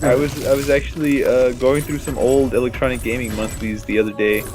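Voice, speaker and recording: A man chatting casually and jokingly as a gaming co-commentator, in halting phrases. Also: medium pitch, level tone. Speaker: Let's Play co-commentator. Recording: music playing behind the voice. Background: video game music.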